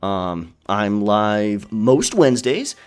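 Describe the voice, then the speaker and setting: A man plays a friendly male pirate captain, talking in a soft, unscripted ASMR style. His voice sits fairly low and moves with very lively intonation. He is encouraging and chatty, a little hesitant as he finds his words, over faint music. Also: slow pace, clear, resonant tone. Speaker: ASMR storyteller and streamer.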